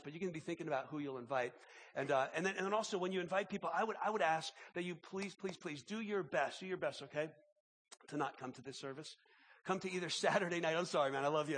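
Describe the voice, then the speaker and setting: Fast-talking sick pastor, voice deeper than usual. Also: male voice, a bit bright, long reverb tail. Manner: repetitive restarts, emphatic pleading. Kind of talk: sermon with opening prayer and announcements. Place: large church auditorium.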